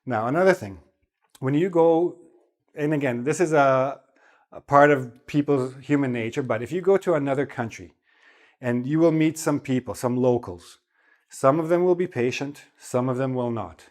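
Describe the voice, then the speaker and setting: A man's voice: medium pitch, normal speed. Chatty man teaching English. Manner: relaxed, chatty, repeats "okay?" to check in. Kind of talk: spoken English lesson.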